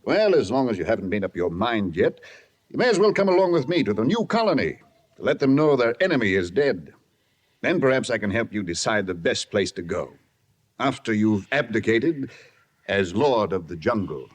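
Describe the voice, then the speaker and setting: A man's voice: on the low side, sing-song, moderate pace. A man playing a hearty, chummy captain in a 1950s radio adventure, with old-fashioned turns of phrase. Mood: persuasive. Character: jovial British colonial captain. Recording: noisy 1950s radio recording.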